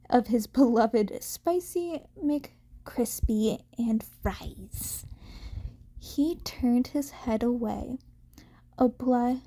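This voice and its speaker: A woman's voice: a little high, dark tone. A woman reading fiction aloud as an amateur, in scripted story narration.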